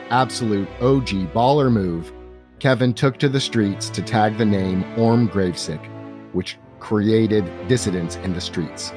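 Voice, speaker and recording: Man, game master narration, deeper voice, theatrical, slangy, prominent background music. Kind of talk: narrating a tabletop role-playing game. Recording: music playing under the voice.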